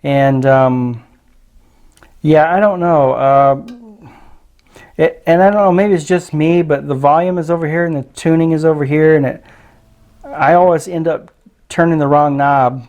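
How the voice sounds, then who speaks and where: A man's voice: on the high side, unhurried, long pauses, a little mellow. A man giving a casual, unscripted product review in a hesitant, tentative way, often trailing off.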